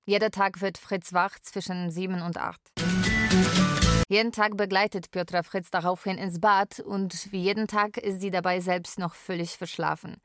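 A woman playing a carer who narrates a daily routine in a radio drama, measured and matter-of-fact. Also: mid-range voice, steady tone, over loud music, natural voice.

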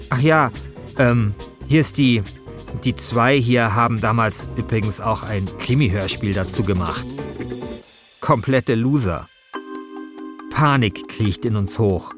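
A man narrating a scripted first-person podcast in a wry, self-deprecating manner. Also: medium pitch. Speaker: host and narrator.

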